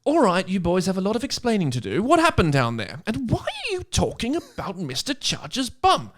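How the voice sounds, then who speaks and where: A man's voice: sits high, huge pitch swings, a little fast, pitched above the performer's own voice. A man voicing a stern, hardened woman professor in her sixties, scolding and sassy in an exaggerated way, for a comic story reading. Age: 60s. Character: sixty-year-old stern woman professor, hardened by tough years.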